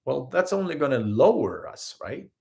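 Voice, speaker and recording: A man's voice: mid-range voice, normal speed, gravelly. A man with a Swedish accent speaks to his listeners in an earnest, conversational way. His mid-range voice rises and falls a lot as he talks, and he keeps acting out short everyday scenes to make his points. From Sweden. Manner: earnest, animated, acts out little scenes in quotes. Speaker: spiritual healer and host. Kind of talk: live-streamed spiritual talk.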